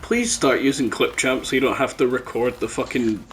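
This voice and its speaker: A man's voice: mid-range voice, normal speed. A man co-hosting a casual gaming podcast, bantering in a teasing, sweary way. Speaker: podcast co-host. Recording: faint background noise.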